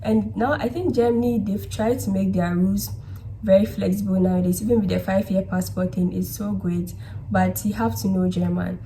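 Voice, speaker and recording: A woman's voice: mid-range voice, steady tone, moderate pace, mellow, a little hiss or hum, low-quality recording. A young woman chatting casually in a vlog, giving encouraging advice and often checking in with "okay?". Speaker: vlogger giving study and job advice. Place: indoors at a laptop.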